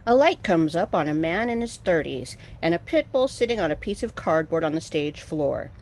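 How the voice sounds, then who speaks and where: Female stage-directions reader, slightly low voice, animated intonation, scripted narration. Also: normal speed, slight background noise. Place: video call.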